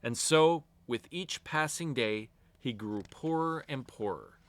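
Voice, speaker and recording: A man reading a fairy tale aloud to children as an expressive storyteller, with deliberate storybook phrasing. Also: medium pitch, dry recording.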